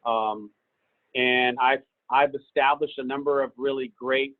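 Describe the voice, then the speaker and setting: A man's voice: medium pitch, a little slow, dry recording. Man talking on a tinny video call. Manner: relaxed, rambling, many fillers. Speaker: business owner and guest speaker. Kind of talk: casual answers on a video call. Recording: tinny phone-like call audio.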